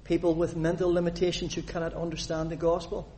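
A man from Northern Ireland preaches in a fairly high voice, lively and animated as he asks the congregation questions and reads scripture aloud. His voice rings with the long reverb of a church hall. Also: fast talker, a little hiss or hum. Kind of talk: sermon.

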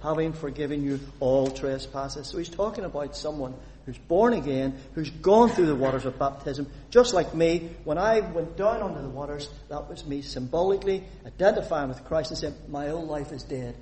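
A man from Northern Ireland preaches in a fairly high voice, lively and animated as he asks the congregation questions and reads scripture aloud. His voice rings with the long reverb of a church hall. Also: normal speed, few pauses, slight background noise. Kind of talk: sermon.

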